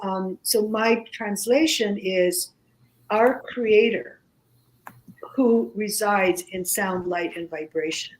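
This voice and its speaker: A woman whose first language is Greek talks unhurriedly as a radio guest, pausing often and searching for words. Her voice sits somewhat high, and she takes her time over each thought. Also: poor audio. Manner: slow, reflective, many pauses and fillers. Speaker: author and guest. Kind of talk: radio interview conversation. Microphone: studio microphone.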